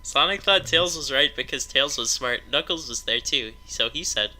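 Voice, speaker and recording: A man's voice: medium pitch, expressive, moderate pace, bright, forceful tone, a little hiss or hum. A man narrating a comedic story aloud to friends, prone to breaking into laughter as he reads.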